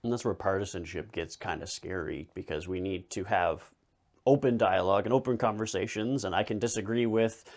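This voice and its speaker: A man hosting a conversational interview, speaking earnestly and in long, measured sentences. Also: sits low, a little mellow. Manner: earnest, measured, runs on with many clauses. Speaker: podcast host.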